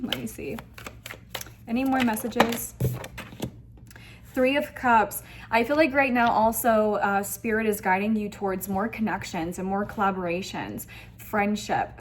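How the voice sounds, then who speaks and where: A woman's voice: mid-range voice, noisy background. A woman giving a spiritual tarot reading to viewers, speaking in an effusive, emphatic way and often repeating phrases. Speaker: tarot reader hosting an online reading.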